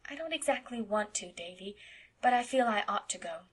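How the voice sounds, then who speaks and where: A soft-spoken, kindly young woman in a storybook reading, speaking quietly and patiently, as a woman narrator gives her lines. Her tone stays low-key and tender. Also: animated, brisk, breathy edge. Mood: gentle, patient. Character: gentle, imaginative young woman.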